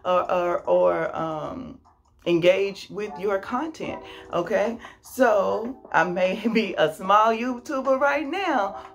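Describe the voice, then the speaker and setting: A woman's voice: medium pitch, slow, dull audio. A woman in her forties chatting upbeat and casually while unboxing fitness gear in her small bedroom, talking to a camera a few feet away. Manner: chatty and upbeat, with laughs and exclamations. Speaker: fitness YouTuber. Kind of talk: casual product unboxing vlog. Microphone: camera mic at a few feet. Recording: music playing under the voice. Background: music.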